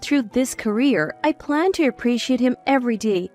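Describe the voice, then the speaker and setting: A woman's voice: fairly high pitch, animated, moderate pace, a little mellow, faint music behind. A woman reading scripted first-person narration of a dramatic family story.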